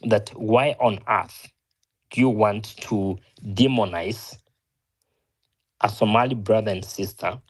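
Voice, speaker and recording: A man's voice: fairly low pitch, slow pace, long pauses, husky. A Kenyan man arguing his point in a discussion, earnest, often restarting and correcting himself, rising as he presses a point. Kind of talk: opinionated discussion.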